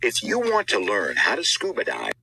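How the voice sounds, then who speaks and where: A man's voice: lowish voice, huge pitch swings, moderate pace, vocal fry, bright, forceful tone, husky, no echo, rough recording. A man reading scripted audiobook narration as an audition.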